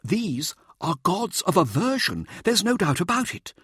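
Audiobook role: male reader as excitable male expedition scientist, insistent showboat, high voice, very animated, didactic. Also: a little slow, husky, no echo, studio quality, heavily acted. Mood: insistent, showy. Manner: theatrical, pressing, didactic. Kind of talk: audiobook character dialogue.

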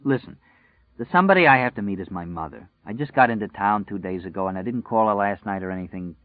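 A man's voice: on the low side, animated, quick pace, no echo, lightly acted. A man voicing a hungover young man who brushes people off curtly, in a slightly dull lo-fi recording. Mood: evasive. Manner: curt, brushing off.

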